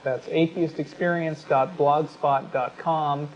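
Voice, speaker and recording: A man hosting a talk show, giving conversational commentary in a halting way, as if thinking aloud. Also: on the high side, steady tone. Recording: muffled lo-fi audio with background noise.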